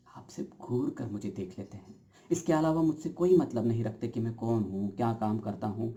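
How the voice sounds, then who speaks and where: Dark-voiced, brooding theatrical everyman played by a man. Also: medium pitch, close to an everyday voice. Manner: deliberate, philosophical, dramatic emphasis. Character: brooding, uncertain everyman narrator of a play. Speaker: actor performing an audition monologue. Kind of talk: theatrical stage monologue addressed to the audience.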